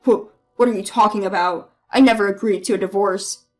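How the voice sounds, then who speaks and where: A woman voicing a bullying, entitled husband who shouts and belittles his wife, with a lowered voice. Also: fairly even intonation, a little fast, faint music behind, natural voice. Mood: panicked. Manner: yelling, belittling, then pleading. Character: bullying entitled husband, loud mama's boy.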